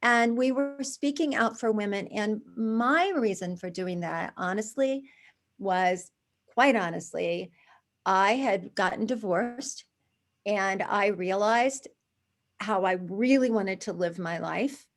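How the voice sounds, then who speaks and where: Woman, 60s, interview guest; animated storyteller who restarts and adds asides. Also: medium pitch, slow. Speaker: guest, charity founder. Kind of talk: conversational interview.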